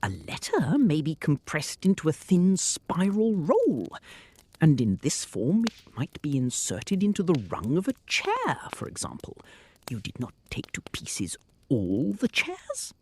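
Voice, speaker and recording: A woman's voice: deep voice, huge pitch swings, unhurried, a little mellow, husky, no room sound, full character voice. A woman playing an inquisitive, formal questioner in a detective audio drama, probing with precise questions. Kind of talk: dramatized audio story dialogue.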